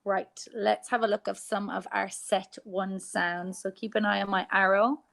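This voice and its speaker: An Irish woman teacher giving a phonics lesson to young children, sounding out letters slowly and clearly. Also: mid-range voice, level tone, a bit bright. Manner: slow, exaggerated sounding out of letters.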